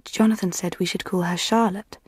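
Idealistic teenage girl, soft reflective monologue, breathy, very dry recording, radio drama. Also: on the low side, fairly even intonation, a little fast, no echo. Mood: tender, reflective.

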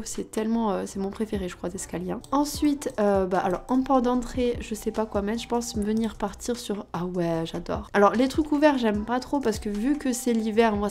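A young woman with a hoarse, husky voice from being ill, chatting casually and thinking aloud as she plays a building game. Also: a little high, darkish tone. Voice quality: husky, cracked voice. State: hoarse from illness. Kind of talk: casual gameplay commentary.

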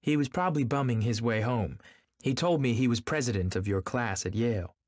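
A man's voice: medium pitch, a little fast, no room sound, studio quality, pitched above the performer's own voice, close to an everyday voice. Man acting a blustering, pompous, indignant husband. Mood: dismissive, suspicious. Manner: pompous, incredulous, snapping. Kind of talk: audiobook character acting.

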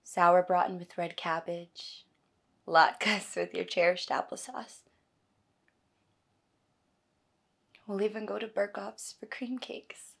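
A woman performing a stage monologue as a tender, wistful wife talking intimately and haltingly to her husband, often softly. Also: fairly low pitch, fairly even intonation, vocal fry, full character voice. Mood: fond, nostalgic. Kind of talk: theatrical audition monologue.

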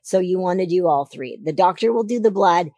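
A woman in her forties from Canada, a podcast guest, talking emphatically about health in a casual interview into a boom mic in a studio. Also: a little fast, dry recording. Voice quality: deeper voice than she once had. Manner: emphatic, animated advice-giving. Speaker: podcast guest, figure competitor. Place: studio set on a sofa. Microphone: boom mic on a stand.

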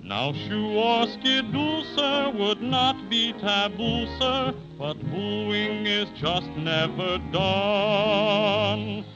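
A woman's voice: mid-range voice, very slow. A performer with a woman's voice playing a playful dragon in a 1930s children's radio patter song. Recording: muffled old radio recording with music.

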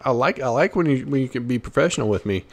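Male gaming host, medium pitch, casual and slangy running commentary, repeats himself, answers the game aloud. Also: lively intonation, quick pace. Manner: slangy, repeats phrases, talks back to the game.

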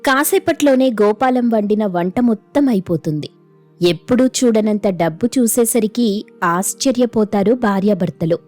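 Woman, storyteller, medium pitch, scripted folk-tale narration, light background music. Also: normal speed, darkish tone.

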